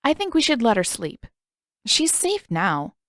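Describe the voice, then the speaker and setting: A woman voicing a gentle, reasonable young teenage boy in a story, mild and a little hesitant. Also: fairly high pitch, wildly swooping pitch, unhurried, no room sound, clean studio sound, voice pushed up, heavily acted. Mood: gently reasoning.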